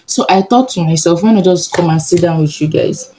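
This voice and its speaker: A woman's voice: fairly low pitch, brisk, dark tone, poor audio. A young Nigerian woman with a Nigerian accent, chatting casually and upbeat in a sit-down vlog. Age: young adult. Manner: chatty, upbeat, laughs often. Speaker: vlogger, medical student.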